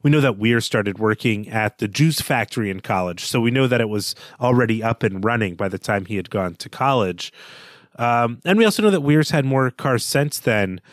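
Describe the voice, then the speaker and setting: A man co-hosts a literary podcast and works through his reading of a novel. He talks in a measured, analytical way. The recording is dry, with no room echo. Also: medium pitch, expressive. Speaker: podcast co-host.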